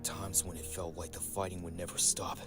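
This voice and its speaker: A man's voice: low voice, little pitch movement, fairly quick, lightly acted. A man voicing a weary, solemn old ninja master with a grave, reflective manner in a motion comic. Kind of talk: motion comic dramatic monologue. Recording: loud music behind the voice.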